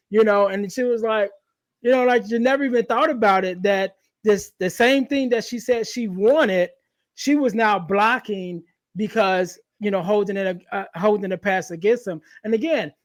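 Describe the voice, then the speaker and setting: A man's voice: sits high, no echo. A man talking conversationally as a podcast host, making his points with emphasis and checking that the listener follows.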